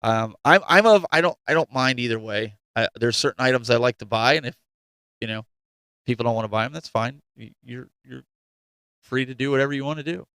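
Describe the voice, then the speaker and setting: A man's voice: medium pitch, fairly quick, fairly bright tone, clean tone, no echo. A man co-hosting a gaming news show with casual banter, informally prodding with questions.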